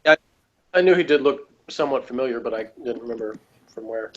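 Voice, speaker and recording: A man chatting casually as a panel reviewer of a TV episode, halting and repeating words as he argues his point. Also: mid-range voice, expressive. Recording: slightly dull, lo-fi sound.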